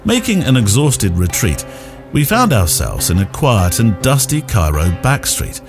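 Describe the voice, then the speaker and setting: A man reading a scripted essay in a studio as measured, literary narration. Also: fairly low pitch. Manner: measured storytelling read from text. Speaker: podcast host. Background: music.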